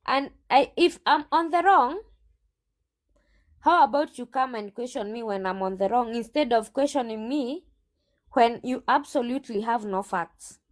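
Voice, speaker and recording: A woman's voice: fairly high pitch, lively intonation, moderate pace. A woman recruitment agent being interviewed, firm and sassy, answering back with her own questions.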